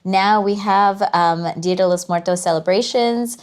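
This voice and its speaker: A woman guest talks in a relaxed podcast conversation, pausing often and filling gaps with small hesitations. Her pitch sits in the middle range. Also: smooth. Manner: hesitant, many fillers. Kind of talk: casual podcast chat.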